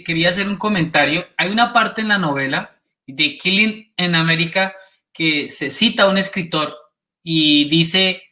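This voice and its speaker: Male host, higher pitch, crisp and bright, admiring and literary. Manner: appreciative, reads passages aloud. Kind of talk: hosted conversation.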